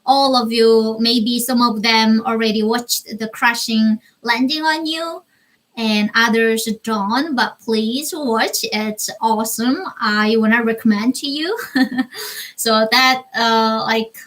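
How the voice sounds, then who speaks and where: A young woman from North Korea with a Korean accent, hosting a casual live stream Q&A from her bedroom, speaking halting English and searching for words. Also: on the high side, fairly bright tone, rough recording. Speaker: livestream host. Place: small bedroom with string lights.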